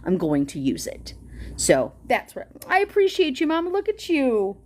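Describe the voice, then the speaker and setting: A woman hosting a livestream, chatting excitedly with viewers and reading a policy document aloud, with a loud voice and a casual, swearing manner. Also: high-pitched, unhurried.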